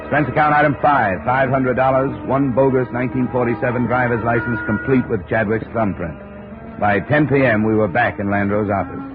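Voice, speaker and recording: An actor playing a hard-boiled, world-weary insurance investigator in a 1950s radio drama, terse, dry and commanding. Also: male voice, mid-range voice, fairly quick, music in the background, close to an everyday voice. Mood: dry, narrating. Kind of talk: 1950s radio detective acting and narration. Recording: muffled 1950 radio recording.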